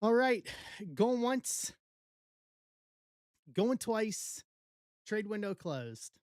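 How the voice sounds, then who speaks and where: East Coast woman hosting a live break stream, unhurried list-reading, dry close mic. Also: medium pitch, very animated, darkish tone, dry recording. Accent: East Coast American. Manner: slow, reading out a list in short bursts. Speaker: card break host.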